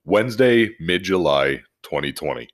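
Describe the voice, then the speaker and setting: A man with a very low voice hosts a casual podcast. He is jokey and freely profane, sounding like someone swapping stories with friends. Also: animated, moderate pace. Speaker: podcast host. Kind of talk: casual podcast chat and animated story reading.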